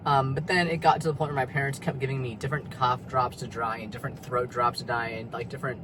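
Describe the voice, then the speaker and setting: A man's voice: mid-range voice, flat delivery, fast, noisy background. A young man talking candidly in a personal vlog from his car in a parking lot, rambling and confessional, with lots of fillers and swearing. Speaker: vlogger.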